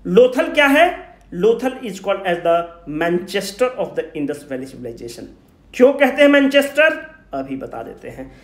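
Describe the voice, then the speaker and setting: A man's voice: high voice, sing-song, takes it slowly. A man teaches an exam class in an echoey room. He speaks to students directly and informally, posing questions and then answering them himself. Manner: switches between Hindi and English. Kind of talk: energetic lecture to exam students.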